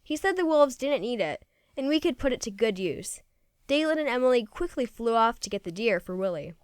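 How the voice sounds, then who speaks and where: A woman reading a children's story aloud in an expressive, folksy first-person storytelling manner. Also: on the high side, a little fast, clear, resonant tone, no echo, studio quality. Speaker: storybook reader.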